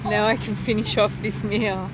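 A woman's voice: mid-range voice, level tone, moderate pace. A young adult woman with an Australian accent, chatting casually to camera as a travel vlogger. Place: airports, plane cabin and moving taxi. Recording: noisy airport and vehicle background.

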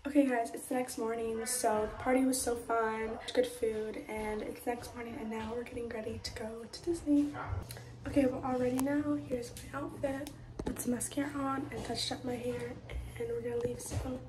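High-voiced young American woman vlogging. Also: steady tone, moderate pace, darkish tone, roomy sound, poor audio. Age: teens to young adult. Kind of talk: casual vlog chat to camera. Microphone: phone at arm's length.

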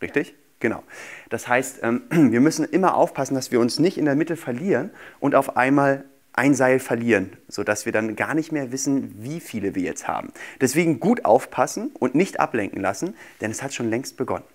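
A man of about thirty with an average-pitched voice, talking as a magician guest on a children's show. His patter is casual and playful, in the manner of someone demonstrating step by step. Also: expressive, a little fast. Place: small furnished TV studio set, indoors.

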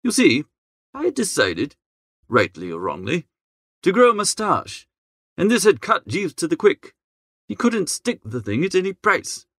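A man reading an audiobook as a chatty, breezy young English gentleman, full of jaunty old-fashioned upper-class slang. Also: a little high, no room sound, clean studio sound, exaggerated voice. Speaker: narrator. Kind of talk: scripted first-person audiobook narration.

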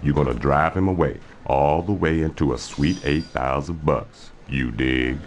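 Game crime boss: extremely deep, swaggering, menacing, seventies street slang. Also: male voice, a little slow, a little hiss or hum. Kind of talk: video game cutscene acting.

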